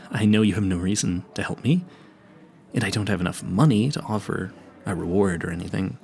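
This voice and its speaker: A man's voice: fairly low pitch, gravelly, darkish tone. A man playing a clueless, earnest vampire hunter in an audio roleplay, stammering and rambling in a politely formal, pleading way. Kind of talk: intimate audio roleplay addressed to the listener.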